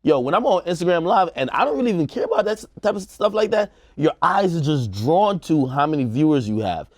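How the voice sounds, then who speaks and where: A man's voice: on the high side, big pitch swings, fast, dry recording, studio quality. A man co-hosting a casual panel talk, giving emphatic opinions with plenty of slang and swearing. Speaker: show co-host giving opinions.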